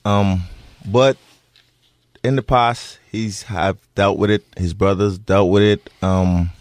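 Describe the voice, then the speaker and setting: A man in his thirties with a Bahamian accent, answering interview questions earnestly with many pauses and restarts. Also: on the low side, fairly even intonation, no room sound. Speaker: interviewee, parent.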